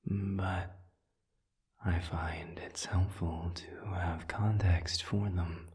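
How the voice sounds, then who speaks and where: Guy doing a smooth demon for audio rp, real deep n whispery, super slow, patient and soothing, kinda formal wording. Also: level tone, a little mellow. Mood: smooth, inviting. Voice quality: breathy, half-whispered, low. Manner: measured, deliberate, formal phrasing with long pauses. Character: suave, patient male demon offering soothing counsel. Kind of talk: audio roleplay, intimate one-sided dialogue.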